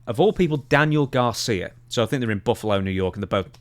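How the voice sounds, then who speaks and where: A man co-hosts a casual talk podcast. His pitch swings widely as he speaks, and he sounds opinionated and exasperated. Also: expressive, fast. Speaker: podcast co-host.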